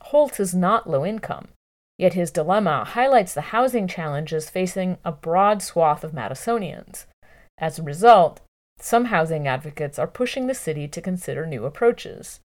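Low female voice, scripted article narration, animated intonation, measured phrasing. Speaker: narrator reading a news article aloud. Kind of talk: scripted narration of long-form journalism.

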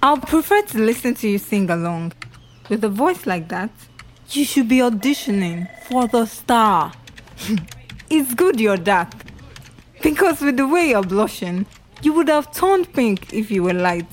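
An actress playing a warm, teasing young woman in an audio drama, playful, assured and encouraging. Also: fairly high pitch, sing-song, unhurried, slight background noise.

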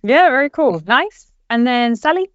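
A woman's voice: sits high, expressive, normal speed, a bit bright, dry recording. Upbeat woman hosting a podcast. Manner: upbeat, brief affirmations. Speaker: podcast host. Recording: dull dry sound, faint music.

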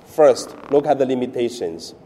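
A priest from mainland China, a man speaking English with a Chinese accent, giving a Sunday homily in halting, broken phrasing with pauses and fillers. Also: mid-range voice, animated, mellow, in a large hall, slight background noise.

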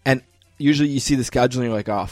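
A man's voice: mid-range voice, racing, dry recording, studio quality. A man with a North American accent talking sports casually as a podcast co-host, opinionated and given to pointed questions and casual swearing.

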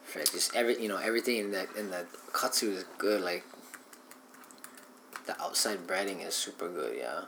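A man in relaxed casual chat with a friend, talking loosely with slang and fillers. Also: low voice, moderate pace, background noise. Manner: laid-back, lots of fillers and slang.

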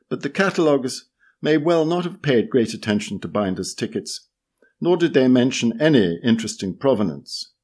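Husky man reading with lively intonation. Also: mid-range voice. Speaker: reader of a magazine article. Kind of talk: measured read-aloud narration.

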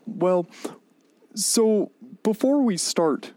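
A man's voice: sits high, animated, drawn-out words, dark tone, dry recording. A man speaking as a guest expert in a radio interview, hesitant, polite and apologetic.

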